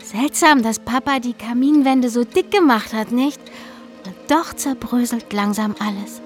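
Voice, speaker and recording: A woman playing a dead little sister in a radio drama, eerily calm, probing and accusing. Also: on the high side, measured pace, faint music behind, natural voice. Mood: eerie curiosity. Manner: probing, taunting, insistent.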